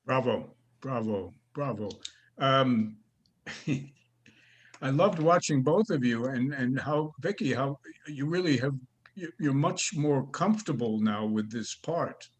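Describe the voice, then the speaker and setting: A man's voice: medium pitch, lively intonation, slow pace. A man teaching an acting class, praising students and giving notes, often restarting his sentences. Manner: warm praise, stammering restarts.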